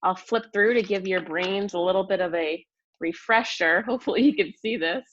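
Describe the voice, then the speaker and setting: A woman teacher giving an expressive read-aloud lesson to young children, explaining and asking questions as she goes. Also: medium pitch, normal speed, highs cut off.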